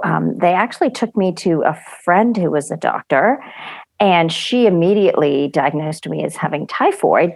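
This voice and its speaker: A woman's voice: low voice, creaky, no echo. A woman in her forties from India, a podcast guest telling her life story in a candid, reflective way, with many fillers. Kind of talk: personal storytelling in an interview.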